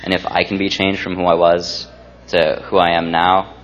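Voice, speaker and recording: Very deep, flat-voiced man, fervent testimony. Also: moderate pace, long reverb tail, lo-fi sound, slight background noise. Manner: earnest, fervent. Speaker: young believer sharing a testimony. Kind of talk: church group testimony.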